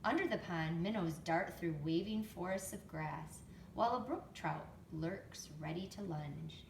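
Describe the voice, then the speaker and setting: Roomy, low-voiced woman reading a storybook aloud. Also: gravelly. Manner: expressive, explanatory, prompts young listeners with questions. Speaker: storytime reader, teacher to young children. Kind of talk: picture book read-aloud with chatty asides. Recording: roomy, distant mic, faint background noise.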